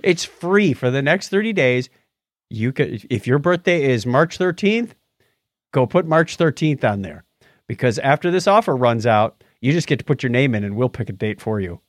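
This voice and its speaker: A man's voice: mid-range voice, lively intonation, normal speed, no echo, clean studio sound. A man co-hosting a casual show, bantering in a jokey, chatty way through news items and announcements. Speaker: podcast co-host.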